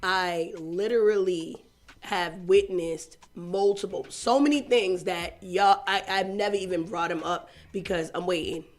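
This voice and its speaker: A woman's voice: lowish voice, measured pace. Blunt Boston-raised woman co-host. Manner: blunt, passionate, colloquial. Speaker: radio co-host. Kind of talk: morning radio talk show chat.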